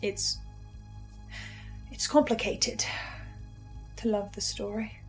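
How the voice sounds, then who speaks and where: A woman playing an anxious, sardonic researcher and broadcaster, speaking in a halting, expressive, naturalistic audio-drama manner. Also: mid-range voice, faint music behind, close to an everyday voice, rough recording. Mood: subdued, confiding. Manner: halting, expressive, self-interrupting. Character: Edwin, anxious, sardonic researcher and broadcaster bound to fearsome powers. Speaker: podcast-style host reviewing a horror series.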